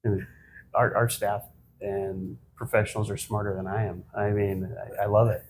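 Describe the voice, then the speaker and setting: A man in his fifties answers questions on a podcast, his low voice unhurried and thoughtful. He pauses, restarts, and fills gaps with ums. Faint noise sits under the recording. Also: flat delivery, rough recording. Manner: measured, reflective, with frequent ums and restarts. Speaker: guest, managing partner of an accounting firm. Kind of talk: conversational podcast interview.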